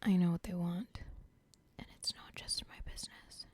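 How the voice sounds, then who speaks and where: A woman's voice: on the low side, fairly even intonation. A woman whispering as a scheming cartel boss. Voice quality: breathy whisper. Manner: slow, close whispering, plotting aloud. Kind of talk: ASMR roleplay.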